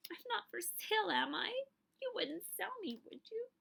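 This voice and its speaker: A sad young storybook girl, acted by a woman reader, speaks with plaintive earnestness. Her voice sits high and carries an anxious edge, with some creak in it. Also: very animated, normal speed, fairly bright tone, full character voice. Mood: frightened, pleading. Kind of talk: storybook character voice.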